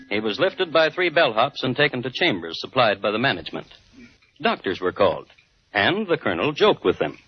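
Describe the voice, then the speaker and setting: Husky, deadpan vintage radio crime narrator. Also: male voice, mid-range voice. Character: dry, measured male crime historian hosting grim tales. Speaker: host and narrator. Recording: dull vintage 1950s radio recording.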